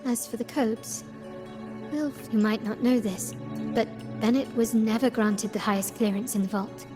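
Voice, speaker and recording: A woman playing a composed, analytical young heiress in a video game, speaking in a measured, formal way. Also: on the high side, fairly even intonation, in a large hall, over music, lightly acted. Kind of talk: scripted game dialogue.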